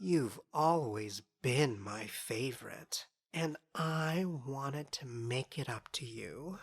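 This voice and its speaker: A woman's voice: very deep voice, lively intonation, slow pace, slightly rough, exaggerated voice. A woman playing a mischievous, sly fairy trickster in a modern audio drama, teasing and theatrical, savouring words with smug unrepentance. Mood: coaxing, sly.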